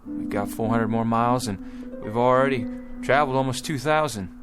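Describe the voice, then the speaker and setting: A man playing a determined, stubborn pioneer father leading a wagon train in a radio drama, with rustic, emphatic and sometimes halting speech. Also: medium pitch, lightly acted. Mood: stubborn resolve. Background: music.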